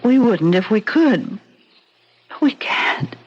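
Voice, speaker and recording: Hesitant young wife, slow and halting; dull old radio sound. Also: female voice, medium pitch, very animated, a little mellow, slightly rough, full character voice. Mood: flustered. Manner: hesitant, flustered. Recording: muffled old radio recording, faint hiss.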